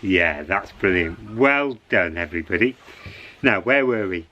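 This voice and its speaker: A man telling a story to children in an expressive, encouraging way, repeating phrases for dramatic suspense. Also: sits low, measured pace, muffled recording.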